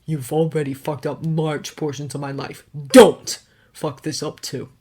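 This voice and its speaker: A woman voicing a stern, guarded wealthy man who talks in a clipped, hard, deadpan way. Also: low voice, moderate pace. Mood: cold.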